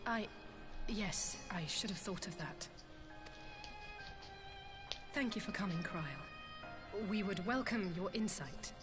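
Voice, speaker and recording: A woman's voice: mid-range voice, expressive, takes it slowly, pauses often. An actress voicing a worried, self-blaming young woman scholar in a video game cutscene, speaking earnestly in formal wording. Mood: subdued, worried. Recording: game audio with music behind.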